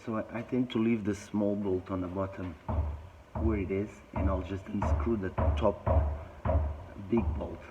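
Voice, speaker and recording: A man with a croaky, low voice talks slowly as he walks through a repair by hand. His halting, plain-spoken explanation comes over loud outdoor noise. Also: steady tone, highs cut off. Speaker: boat owner showing a repair. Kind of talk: casual how-to demonstration.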